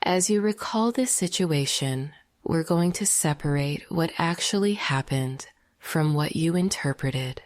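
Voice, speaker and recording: A woman leading a guided meditation in a soft, half-whispered, gentle and soothing voice. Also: low-pitched, animated, measured pace, no echo, clean studio sound.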